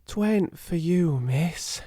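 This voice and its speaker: A man voicing a timid, downtrodden young servant girl, hoarse and eager, with a working-class English accent. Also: fairly high pitch, lively intonation, extremely slow, mellow, no echo, clean studio sound, pitched above the performer's own voice, full character voice. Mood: hushed, pleading.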